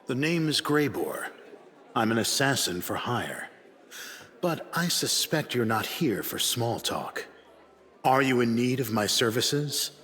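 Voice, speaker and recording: An actor playing a proud, cool-headed assassin for hire in a fantasy video game, speaking formally and with measured condescension in a deep, dignified voice. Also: male voice, expressive, a bit bright, long reverb tail. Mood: businesslike.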